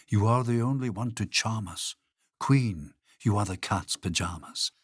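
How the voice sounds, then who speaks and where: Storybook magic mirror, grave and measured, low and hushed, as a man reading puts it on, husky. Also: no room sound, clean studio sound. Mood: flattering. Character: magic talking mirror, calm and solemn.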